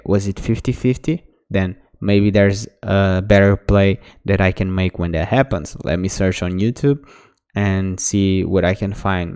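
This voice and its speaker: A man, a native Portuguese speaker, talks a player through their mistakes in a relaxed coaching tone. His voice sits low and sounds smooth and mellow. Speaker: game coach. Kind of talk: casual demo review commentary.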